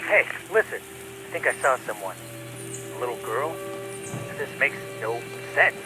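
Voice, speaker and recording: A man's voice: mid-range voice, expressive, measured pace, natural voice, low-quality recording. A man voicing an alert, puzzled character in a video game. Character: puzzled, alert man reporting what he saw. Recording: music behind.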